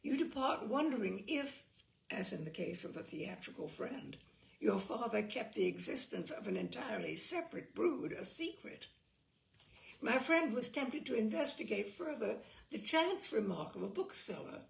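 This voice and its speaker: Creaky voiced lady reading a witty essay out loud, kinda theatrical, pitch jumps around, roomy. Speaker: host and reader. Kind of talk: expressive literary reading of a humorous essay. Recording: roomy echo, distant microphone.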